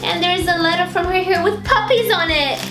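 A woman's voice: extremely high pitch, fairly quick, roomy sound, music in the background. A woman, gushing with squeals as she unboxes beauty products in a vlog. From Romania. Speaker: beauty vlogger. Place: small bright indoor home studio.

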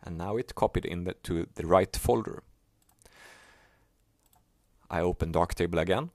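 A man whose first language is Swedish, explaining a software task step by step in a plain, unhurried way. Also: sits low, no echo. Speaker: software tutorial maker. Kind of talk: screen-recorded software tutorial.

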